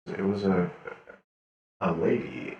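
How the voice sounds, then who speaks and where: A man playing a weary cyborg patient in an online game roleplay, halting and groping for words. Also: low-pitched, lo-fi sound. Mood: confused, halting. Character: weary male cyborg prisoner recovering from brain surgery. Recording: reverberant, distant from the mic.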